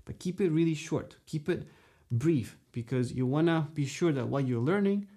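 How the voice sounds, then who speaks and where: A man in his thirties teaching saxophone straight to camera in a dark studio, animated and gesturing, checking in with "okay?" and "you know" between his points. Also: fairly high pitch, moderate pace, breathy edge. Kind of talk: direct-to-camera music lesson. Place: dark studio room, indoors.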